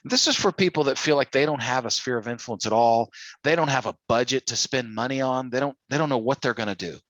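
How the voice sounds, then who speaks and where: A man with a medium-pitched voice leads a sales training call. He talks informally and persuasively, often putting questions to the listeners. The sound is dry, with little room in it. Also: quick pace, a bit bright, no room sound. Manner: persuasive, full of rhetorical questions. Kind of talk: informal sales coaching talk.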